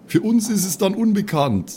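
Man doing a high-voiced earnest schoolboy. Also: big pitch swings, normal speed, mellow. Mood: earnest, hesitant. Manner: hesitant, repetitive. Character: well-behaved schoolboy, earnest and naive. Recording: faint background noise.

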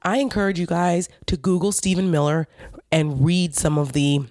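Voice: mid-range voice, fairly even intonation, moderate pace, a little mellow, dry recording. A commentator with a New Jersey accent, hosting a casual political monologue in a blunt, indignant way and swearing freely. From New York / New Jersey area.

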